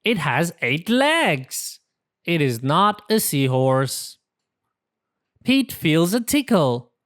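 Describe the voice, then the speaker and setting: A woman's voice: low-pitched, very animated, extremely slow, no room sound, studio quality. A woman reading a picture book aloud to young children, enunciating simple sentences carefully. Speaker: children's story reader.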